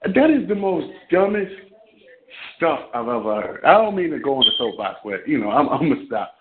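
A man speaks over a thin, tinny phone line, his voice at an ordinary middle pitch. He talks bluntly and with passion, rambling through long runs of thought. He is a caller on a talk radio show. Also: sing-song, normal speed, breathy edge. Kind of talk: call-in radio commentary.

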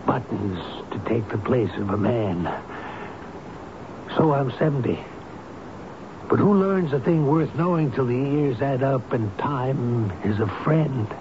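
A man plays a devoted old elevator man in a radio drama. He talks slowly, in a rambling, folksy, halting way, gentle but stubborn, through heavy noise on a dull old broadcast. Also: medium pitch, close to an everyday voice. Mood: wistful, indignant.

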